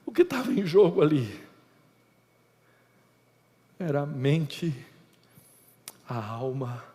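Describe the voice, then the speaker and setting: A middle-aged Brazilian man with a Brazilian Portuguese accent, preaching an emphatic lecture-style sermon that swings between loud and hushed. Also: fairly high pitch, sing-song, slow pace, husky. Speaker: pastor. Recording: reverberant hall.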